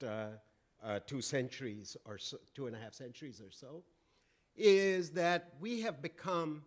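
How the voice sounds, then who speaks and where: A man lecturing on economics to an audience, with a loud voice and frequent fillers and restarts. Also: mid-range voice. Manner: loud, halting with many fillers. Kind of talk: public lecture.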